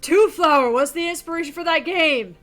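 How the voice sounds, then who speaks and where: A woman's voice: extremely high pitch, a little fast, a bit bright, soft background music. A woman co-hosting a game stream, chatting casually, giggling and swearing.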